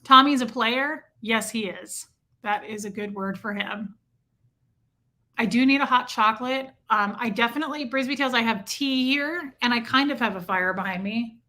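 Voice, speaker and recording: A woman's voice: a little high, normal speed, bright, forceful tone. A woman hosting a casual livestream from home, chatting and reacting to viewer comments. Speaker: livestream host reading chat. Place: home room with a heater.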